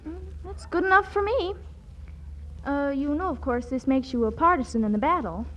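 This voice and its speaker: Sly, high-pitched scheming girl. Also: fairly bright tone. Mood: sly, wry. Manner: brisk, wisecracking. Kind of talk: 1940s radio comedy acting. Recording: dull old radio broadcast.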